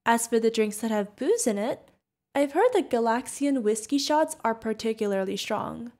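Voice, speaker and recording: A woman's voice: on the high side, lively intonation, normal speed, darkish tone, close to an everyday voice. A woman playing a sweet, curious, friendly feathered alien bartender in an ASMR roleplay, chatting directly to the listener. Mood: friendly, chatty.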